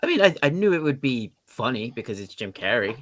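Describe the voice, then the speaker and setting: A man's voice: medium pitch, sing-song, fairly quick. A man co-hosting a casual movie chat, talking in a blunt, slangy way. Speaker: podcast co-host.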